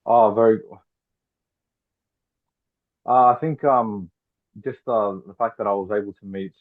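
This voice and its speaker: A man's voice: on the low side, steady tone, unhurried. A man from Argentina talking casually and chattily over a video call. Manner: chatty, filled with "like". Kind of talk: casual conversation. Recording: tinny phone-like line.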